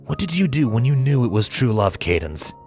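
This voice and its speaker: A man playing a gentle but firm pony husband in a story reading, earnest and given to sighs. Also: mid-range voice, sing-song, brisk, faint music behind, pitched above the performer's own voice. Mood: reproachful. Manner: soft-spoken, sighing, earnest.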